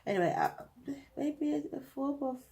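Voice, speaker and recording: A woman's voice: sits high, expressive, moderate pace, creaky, warm tone. A woman with a British accent, reading tarot cards to camera in a chatty, thinking-aloud way, her nose blocked up from a cold. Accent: British English. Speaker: tarot reader.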